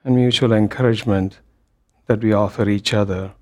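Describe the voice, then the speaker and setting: A man leading a guided meditation as a teacher, speaking gently in short phrases with long pauses between them. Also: fairly low pitch, steady tone. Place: meditation hall.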